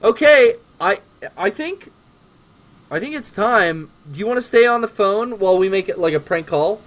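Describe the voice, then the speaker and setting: A man's voice: high-pitched, lively intonation, normal speed, a bit bright. A young man hosting a call-in stream with casual, mock-earnest banter. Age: young adult. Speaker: livestream host. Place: small cluttered office, indoors.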